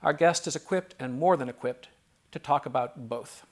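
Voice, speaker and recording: A man hosting a relaxed interview, asking questions with frequent pauses, ums and restarts. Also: medium pitch, slight background noise. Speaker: interviewer and host.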